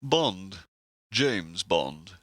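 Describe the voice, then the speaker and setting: An actor playing a suave, pompous narrator in an audio sitcom, speaking formally and deadpan. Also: male voice, low voice, extremely slow, no echo, full character voice. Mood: suave, self-assured. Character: suave, pompous male narrator who steps into the story.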